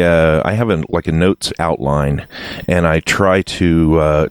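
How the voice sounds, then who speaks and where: A middle-aged man with a deep voice, a podcast guest talking casually and thinking aloud as he rambles. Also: no echo. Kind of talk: casual interview chat.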